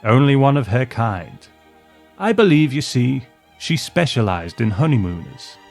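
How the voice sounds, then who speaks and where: Guy doing a hearty old man in a story, opinionated, rambly old-fashioned talk, soft music behind. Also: medium pitch, sing-song, a little slow, gravelly, pitched above the performer's own voice. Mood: proud, wistful. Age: older. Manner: rambling, exclamatory, old-fashioned turns of phrase. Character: hearty opinionated old man reminiscing about his beloved ship.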